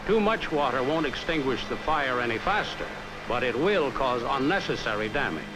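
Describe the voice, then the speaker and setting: An American man reading a scripted 1950s training film narration in a measured, instructive, carefully enunciated way, on a vintage film soundtrack. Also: mid-range voice, lively intonation, noisy background. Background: background music.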